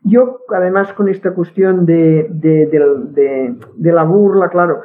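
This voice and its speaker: A woman's voice: on the low side, a little slow. A woman with a Catalan accent, Catalan being her first language, speaking as a guest in a casual conversation, firm and opinionated, often restarting words. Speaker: guest linguist. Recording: tinny phone-like line.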